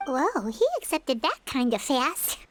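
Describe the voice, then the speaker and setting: A tiny, chirpy fairy girl from a video game, squeaks along in a very high voice. Her delivery swings up and down, perky and childlike, with music faintly behind it. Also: big pitch swings, a little slow, a bit bright, exaggerated voice. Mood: curious. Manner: perky, exclamatory.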